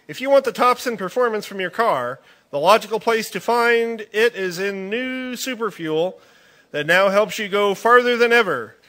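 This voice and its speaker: A man's voice: high voice, animated, moderate pace, sharp tone. A man playing a 1940s radio announcer, reading a commercial in an upbeat, salesy manner.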